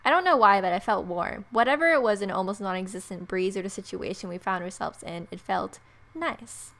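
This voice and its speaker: A woman's voice: medium pitch, a little fast, fairly bright tone. A woman let's player narrating a visual novel aloud as she plays. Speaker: video game let's player.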